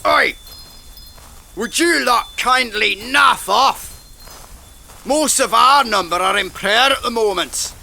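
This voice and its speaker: An actor playing a hot-headed, mouthy warrior in a fantasy audio drama, blunt and taunting. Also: male voice, very high-pitched, slow pace, gravelly, long pauses, pushed and bright, slight background noise, full character voice.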